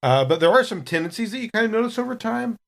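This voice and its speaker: A man's voice: sits high, animated, quick pace, creaky, a bit bright. A man who plays on a gaming podcast, chatting casually. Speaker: player on an actual-play podcast. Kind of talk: casual chat.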